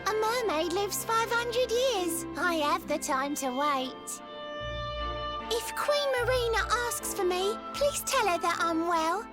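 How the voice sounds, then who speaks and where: Very high, sweet lovelorn mermaid. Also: female voice, expressive, takes it slowly, loud music behind the voice, full character voice. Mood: sweet, cheerful. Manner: speaks theatrically in rhyming verse. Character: lovelorn young female mermaid, sweet, wistful, devoted. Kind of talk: video game voice acting.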